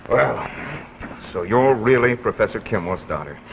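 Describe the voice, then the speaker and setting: An awkward, courteous young man in a vintage radio play. His mid-range voice is hesitant but very animated, on a dull, slightly noisy old recording. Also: fairly low pitch, normal speed, gravelly. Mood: polite. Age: young adult. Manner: hesitant, polite. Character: awkward, polite young man, professor's former student. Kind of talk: 1950s radio drama acting.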